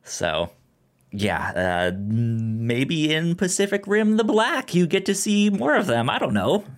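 Man with unhurried, stammering delivery. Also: a little high, very animated. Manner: stammers, repeating syllables several times. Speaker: podcast co-host. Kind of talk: casual movie discussion.

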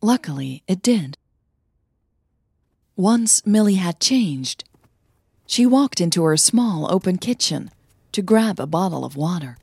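A woman narrating a scripted audio story as a podcast host, with measured, deliberate phrasing. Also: fairly low pitch, expressive, gravelly, dark tone, slightly rough, no echo, clean studio sound.

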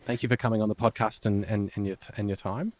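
Low-voiced male podcast host, halting delivery. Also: fairly even intonation, dry recording. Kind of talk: enthusiastic conversational interview.

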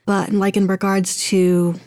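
A woman's voice: medium pitch, flat delivery, takes it slowly, darkish tone, no room sound. A woman speaking casually as an interview guest, rambling a little and often searching for her words. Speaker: interview guest, audio drama producer.

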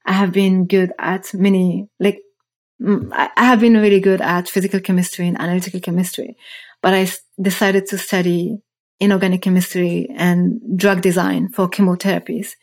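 A woman's voice: lowish voice, flat delivery, normal speed, darkish tone. A woman in her thirties from Morocco, telling her story as a podcast guest in an earnest, reflective way. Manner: earnest, reflective, frequent restarts. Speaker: guest, startup founder.

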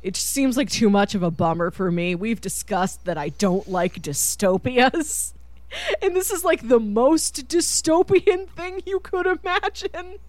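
A woman's voice: a little high, animated, unhurried, darkish tone, no room sound. A woman hosting a podcast, casually discussing films and drawing others in with questions. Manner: conversational, draws others in with questions. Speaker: podcast host.

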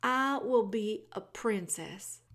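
A woman voicing a simple, rough-spoken young serving woman with slow, blunt rustic speech. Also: medium pitch, lively intonation, fairly bright tone.

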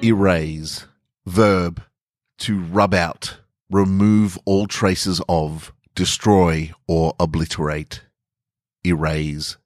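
A male podcast host with a notably low voice, recorded dry and close. He talks to listeners and reads short fiction as a storyteller, with a sense of drama. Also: steady tone, extremely slow, no room sound, soft background music. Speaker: podcast host and fiction writer reading own stories. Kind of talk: chatty intro and dramatic first-person story narration.

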